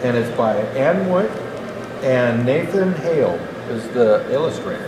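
Older man, Santa storyteller, expressive verse reading, roomy echo, clear background noise. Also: medium pitch, unhurried. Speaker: Santa Claus storybook reader. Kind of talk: children's storybook reading aloud. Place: indoor natural history museum hall. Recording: echoey hall, clearly noisy background.

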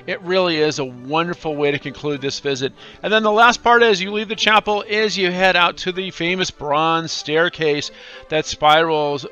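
Bright, higher-voiced man narrating a travel vlog. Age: middle-aged. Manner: enthusiastic. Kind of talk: upbeat travel vlog voice-over. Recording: dull lo-fi voice-over track. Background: classical music.

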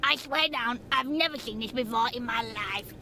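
A woman's voice: a little high, normal speed, slight background noise. A woman voicing a chatty, homely small-town local in a cartoon game, with a familiar, gossipy manner. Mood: defensive, familiar. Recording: loud music over the voice.

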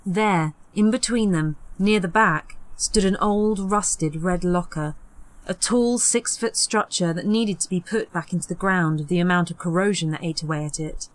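Female audiobook narrator for horror fiction, lower register, dry studio-like sound. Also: normal speed, no room sound. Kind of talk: scripted horror story narration.

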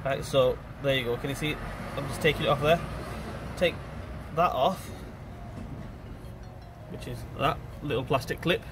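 A man's voice: mid-range voice, unhurried, long pauses, noisy background. A man with a blocked, stuffy nose talks viewers through a car repair in a casual, chatty way, recorded on a phone held close to the engine. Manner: chatty, informal, addresses viewers as guys. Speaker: mechanic presenting a repair video. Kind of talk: casual how-to commentary while working.

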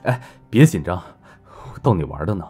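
A man playing a cool, terse, gently teasing rich young businessman in an audio drama, with a husky voice. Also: big pitch swings, brisk, faint music behind, exaggerated voice. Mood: soothing. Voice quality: husky, low.

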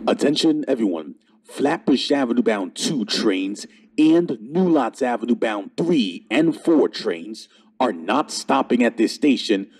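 Slightly husky man making station announcements. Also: medium pitch. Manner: formal, measured announcing. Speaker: transit announcer. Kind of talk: scripted station service announcement.

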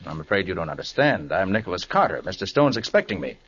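Low-voiced 1940s radio detective. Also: male voice, big pitch swings, fairly bright tone. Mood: urgent. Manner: brisk, assured questioning. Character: confident, brisk male master detective, wry and inquisitive. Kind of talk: 1940s radio drama acting. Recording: muffled, noisy 1940s radio recording.

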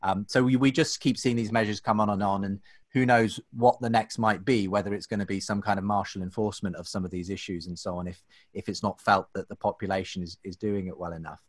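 A man's voice: lowish voice, fairly even intonation, fast, dry recording, clean studio sound. A man in his thirties hosting a podcast from home, chatty and full of fillers and restarts. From England. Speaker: host. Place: home room. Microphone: desk microphone, webcam.

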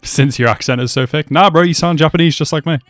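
A man's voice: a little high, quick pace, dry recording, soft background music. A young man casually reacting to an anime episode, chatty and swearing a lot, calling the characters bro. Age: young adult. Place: small room at home. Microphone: headset mic.

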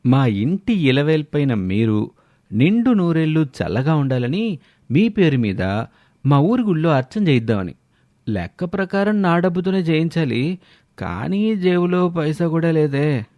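A man voicing a shameless idler son-in-law who flatters and wheedles with exaggerated respect in an audio story. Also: on the high side, measured pace, no echo. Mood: fawning, wheedling.

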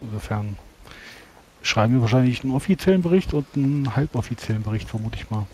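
A man's voice: mid-range voice, expressive, quick pace, warm tone, slight background noise. A man playing a tabletop roleplaying game, speaking in character with hesitant, formal wording.

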